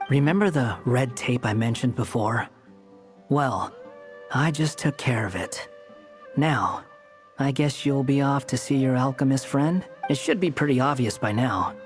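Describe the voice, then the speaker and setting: An actor playing an aloof, sardonic young man in a video game, with a cool, smug, deliberate manner. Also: medium pitch, animated, soft background music, close to an everyday voice. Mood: cool, teasing.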